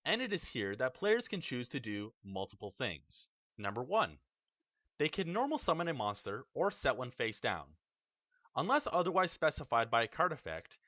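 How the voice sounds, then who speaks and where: Male tutorial narrator, bright and animated delivery, methodical rule explainer; dry, slightly muffled home recording. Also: mid-range voice, dry recording. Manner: measured, explanatory, enumerating rules step by step. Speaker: card game tutorial host. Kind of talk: scripted instructional narration. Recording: dull, slightly lo-fi dry voice-over.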